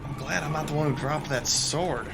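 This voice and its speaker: A man's voice: a little high, moderate pace, creaky, noisy background. An actor playing a nervous, loyal young male student in a radio drama, hesitant with a joking edge.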